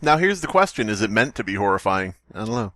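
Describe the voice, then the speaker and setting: A man's voice: lowish voice, sing-song, quick pace, a bit bright, no echo. A man hosting a comedy podcast, bantering and reading a story aloud for laughs. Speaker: podcast host.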